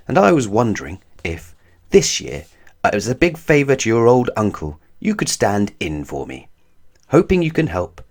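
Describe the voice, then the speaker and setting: A jolly old Father Christmas, a kindly uncle in a children's storybook, voiced by a man reading aloud. He rumbles and chuckles warmly, with plenty of rise and fall. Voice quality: deep, rumbly. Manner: chuckling, warm.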